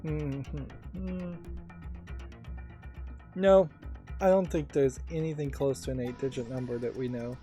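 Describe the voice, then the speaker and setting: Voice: medium pitch, steady tone, measured pace, pauses often, warm tone. A let's play host giving casual game commentary, musing and thinking aloud while working through a puzzle game. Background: game music.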